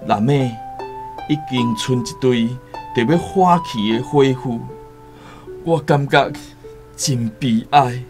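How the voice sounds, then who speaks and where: A Taiwanese-accented actor playing a cautious, worldly returned seafarer in a radio drama, solemn and deliberate. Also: male voice, mid-range voice, close to an everyday voice. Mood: sombre. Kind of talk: radio drama acting with first-person storytelling. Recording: music behind the voice.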